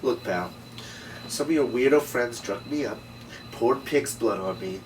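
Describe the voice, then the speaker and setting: A man playing a tough, suspicious, hot-headed noir detective with blunt, gruff delivery in a script table read. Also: medium pitch, a little slow, natural voice. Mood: angry, threatening. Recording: distant mic, noisy room.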